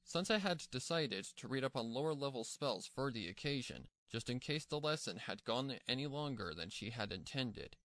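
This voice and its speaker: A man's voice: medium pitch, expressive, normal speed, few pauses, fairly bright tone, no room sound. A man narrating a scripted story as its narrator.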